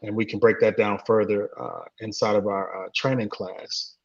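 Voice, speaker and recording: Husky low-voiced man, flat and hesitant. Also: moderate pace, dull audio. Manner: hesitant, many uh and um fillers. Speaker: guest, trading community co-founder. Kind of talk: casual interview answers.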